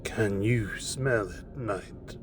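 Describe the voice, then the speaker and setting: A man's voice: lowish voice, background noise. A man playing a cryptic, mournful cloaked stranger in an audio drama, brooding and questioning over music. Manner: slow, brooding, rhetorical questioning.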